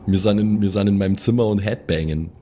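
A man's voice: lowish voice, fast talker, warm tone, a little hiss or hum. A man playing a role-playing game with slangy, joking, casual banter.

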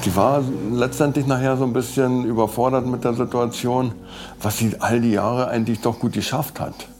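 A man's voice: mid-range voice, steady tone, normal speed, darkish tone, a little hiss or hum. A man giving a spontaneous documentary interview about someone he knew. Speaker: interviewee, acquaintance of victim. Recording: faint music under interview.

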